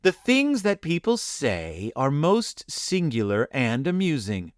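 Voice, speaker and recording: A man voicing a sly, superior village girl who talks in slow, measured riddles in a storybook reading. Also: fairly high pitch, wildly swooping pitch, dry recording, clean studio sound, heavily acted. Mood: aloof, teasing. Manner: slow, measured, mock-wise.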